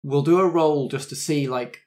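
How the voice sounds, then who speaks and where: A man's voice: fairly high pitch, moderate pace, a little mellow. Man running a tabletop game, conversational. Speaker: game master. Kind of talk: narrating a tabletop roleplaying game.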